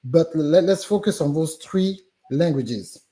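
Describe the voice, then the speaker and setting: A man's voice: on the high side, expressive, unhurried, dark tone. A man with a French accent, a business guest on a live stream interview, talking animatedly with many fillers and restarts. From Gabon.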